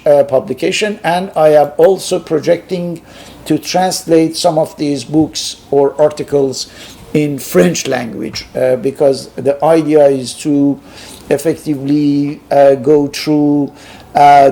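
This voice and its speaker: A middle-aged man with a Persian accent, giving a halting, unscripted lecture with many pauses. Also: fairly high pitch, mellow.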